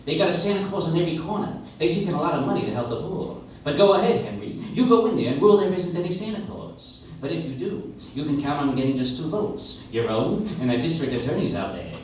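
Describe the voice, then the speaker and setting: A man's voice: on the high side, animated, quick pace, few pauses, darkish tone, a little hiss or hum, lightly acted. A man playing a blunt, sardonic political fixer in an old-time radio play, punchy and persuasive. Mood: sardonic, urgent. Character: wisecracking, hard-nosed political fixer, blunt male crony. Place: coffee shop stage, recorded from the audience. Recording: echoey live stage, distant audience recorder.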